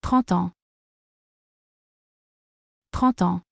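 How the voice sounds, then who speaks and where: A woman speaking very slowly and deliberately, giving each short phrase twice as a model for learners. The sound is dry and close, with no room echo. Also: lowish voice, gravelly. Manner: careful, deliberate enunciation. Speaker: pronunciation model. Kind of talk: slow repeated drill phrases.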